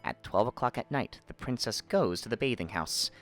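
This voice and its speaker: A man's voice: lowish voice, animated, normal speed, fairly bright tone, faint music behind. A man voicing a cunning old fox who gives wise, emphatic counsel in a storybook reading. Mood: firm, advising. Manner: instructing, emphatic.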